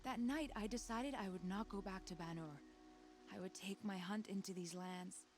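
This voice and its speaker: A woman's voice: medium pitch, normal speed, music in the background, natural voice. An actress playing a grave foreign woman hunter who speaks tersely in video game dialogue.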